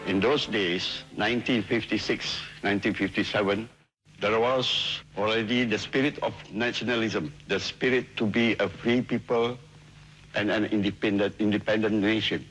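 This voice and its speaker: A man's voice: fairly low pitch, steady tone, moderate pace, fairly bright tone, faint music behind, low-quality recording. A man reflectively recalling the spirit of an earlier time in an interview. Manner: reflective recollection.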